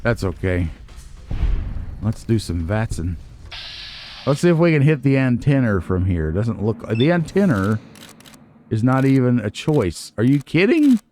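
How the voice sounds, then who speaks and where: A man's voice: mid-range voice, very animated, a little slow, pauses often, dark tone, slight background noise. A man hosting a let's play, chatting casually and playfully to himself and viewers with folksy, wry asides. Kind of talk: casual game commentary, talking to himself and the viewers.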